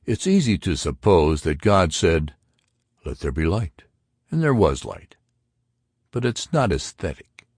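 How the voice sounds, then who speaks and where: A man's voice: low voice, big pitch swings, a little slow, pauses often, darkish tone, slightly rough, no room sound, studio quality, heavily acted. A man voicing an argumentative intellectual who expounds theories to friends in an audiobook. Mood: eager, pedantic. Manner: emphatic, pedantic. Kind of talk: audiobook character dialogue.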